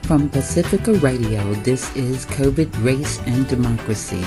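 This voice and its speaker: A woman hosting a radio program, reading scripted narration in a measured, deliberate way. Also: very deep voice, over music. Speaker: radio host.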